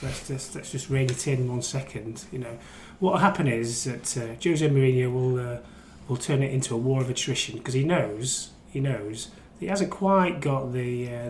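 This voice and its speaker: Man in an echoey room. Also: mid-range voice. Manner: teasing, rambling with repetitions. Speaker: crime reporter and podcast guest. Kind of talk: casual football banter. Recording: echoey room, faint background noise.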